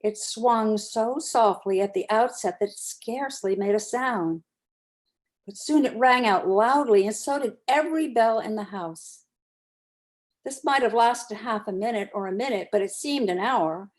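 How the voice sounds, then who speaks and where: A woman reading classic literature aloud as narrator, with expressive, deliberate dramatic storytelling. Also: fairly high pitch. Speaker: narrator, community reader.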